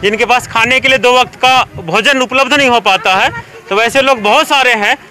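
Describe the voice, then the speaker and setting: A man speaks in an extremely high, very sharp voice. He is giving a statement in an outdoor interview as a volunteer. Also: moderate pace, faint music behind.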